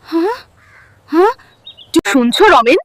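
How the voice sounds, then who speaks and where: A woman's voice: extremely high pitch, big pitch swings, moderate pace, a crowd in the background. A woman playing an accusing, sceptical wife in a comic cartoon, scolding and exclaiming.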